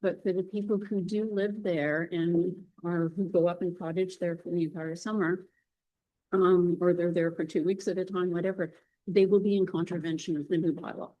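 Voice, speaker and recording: A woman's voice: lowish voice, steady tone, normal speed, dull audio. A woman addressing a township council as a delegate, speaking earnestly to make her case. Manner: earnest, pleading. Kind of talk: public meeting presentation.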